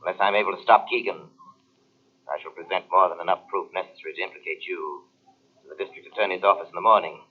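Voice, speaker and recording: A deep, sinister radio-mystery avenger. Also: male voice, steady tone, phone-quality sound. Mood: accusing, menacing. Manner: measured, mocking, menacing, formal diction. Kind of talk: 1930s-40s radio mystery acting. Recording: old radio drama on cassette, band-limited.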